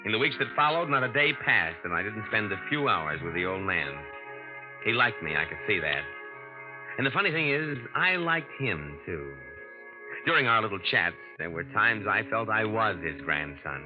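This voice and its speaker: Hard-boiled young male impostor narrating, deep voice, muffled vintage radio with music. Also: sing-song, normal speed. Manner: hard-boiled, cool, low-key. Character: smooth young male con man posing as grandson. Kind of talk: 1940s radio acting.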